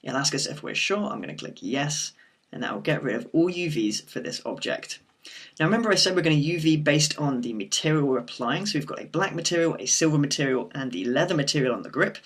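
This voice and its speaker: A man with a croaky voice from a sore throat, talking through a screen-recorded software tutorial in a steady explanatory way. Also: a little high, fast talker. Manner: steady explanatory walkthrough with occasional fillers.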